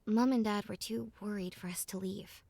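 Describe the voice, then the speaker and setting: A woman playing a reflective young woman who narrates her journey in a measured, wistful storybook style. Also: mid-range voice, steady tone, gravelly, mellow, no echo. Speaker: narrator and protagonist. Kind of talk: scripted first-person storybook narration.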